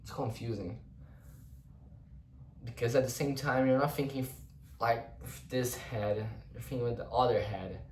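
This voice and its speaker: A man's voice: mid-range voice, fairly even intonation, normal speed, long pauses, darkish tone, recorded from a distance, slight background noise. A young man whose first language is Portuguese, thinking aloud in English in an unscripted personal monologue, rambling and restarting often, with some casual swearing. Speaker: vlogger. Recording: roomy echo, voice set back from the mic.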